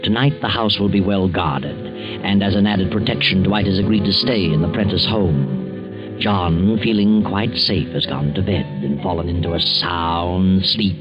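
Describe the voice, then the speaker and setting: A man's voice: deep voice, loud music behind the voice. A man playing a sinister, sardonic, all-knowing narrator of a 1940s radio suspense show, on a dull old recording. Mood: sardonic, ominous. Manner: deliberate, ominous, sardonic. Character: sinister, mocking male mystery storyteller, all-knowing.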